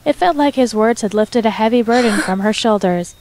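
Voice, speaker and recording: A woman's voice: on the high side, fairly quick, background noise. A woman reading scripted fiction as the narrator, in a dramatic storytelling style.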